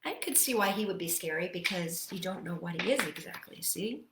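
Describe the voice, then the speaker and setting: A woman hosting children's storytime, reading picture books aloud and chatting to young listeners in a playful, expressive, sing-song manner. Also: on the low side, a little fast, echoey room, very noisy.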